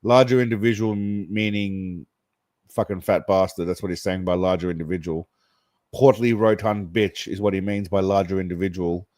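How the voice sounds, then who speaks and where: A man's voice: low-pitched, steady tone, normal speed, darkish tone, no echo, studio quality. A man hosting a reaction show, delivering crude, sarcastic commentary full of profanity and mockery. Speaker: host reacting to clips.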